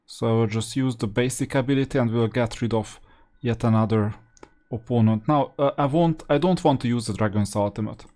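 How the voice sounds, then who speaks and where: A man giving casual gameplay commentary as a guide, explaining his choices and thinking aloud in a halting way. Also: mid-range voice, dark tone.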